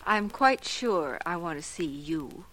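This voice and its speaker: A woman's voice: lowish voice, lively intonation, slow pace, fairly bright tone, exaggerated voice, low-quality recording. An actress playing a plain, resigned woman of thirty-four in a 1940s radio drama, confiding in hesitant, stammering phrases. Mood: resigned, calm.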